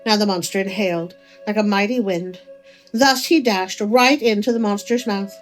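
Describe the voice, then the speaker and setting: A woman narrating a folk tale as a storyteller, with expressive delivery. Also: medium pitch, moderate pace, poor audio. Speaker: storyteller, host of a story channel. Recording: music under the voice.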